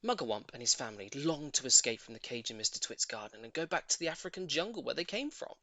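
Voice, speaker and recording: A man's voice: medium pitch, animated, quick pace, pushed and bright, no echo. A man narrating a children's story as a scripted audiobook, in a theatrical, emphatic way.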